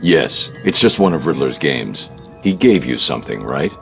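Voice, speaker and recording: Grim game vigilante, extremely low pitch, gravelly creak, terse, commanding, controlled. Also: male voice, sing-song, normal speed, a bit bright, soft background music, exaggerated voice. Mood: stern, reassuring. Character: grim, commanding male masked vigilante hero.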